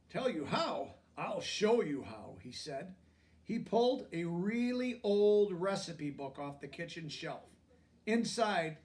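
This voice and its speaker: A man's voice: a little high, far from the mic. A man reads a children's picture book aloud expressively, explaining things simply for young listeners. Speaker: storybook reader.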